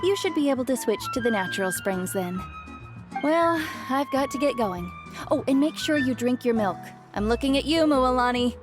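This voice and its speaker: An actress playing a caring but strict aunt in a video game, instructive and gently scolding in manner. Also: female voice, high voice, lively intonation, normal speed. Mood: teasing, scolding. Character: caring but strict, fussy aunt, hot spring expert. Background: game music.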